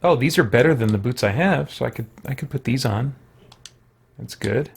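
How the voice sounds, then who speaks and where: A man's voice: medium pitch, normal speed, creaky, husky. A man giving casual livestream game commentary, thinking aloud in short remarks. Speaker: video game streamer.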